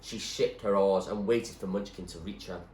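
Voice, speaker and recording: A man giving an expressive narration of a storybook, like a teacher reading aloud. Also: deep voice, normal speed. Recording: echoey room, faint background noise.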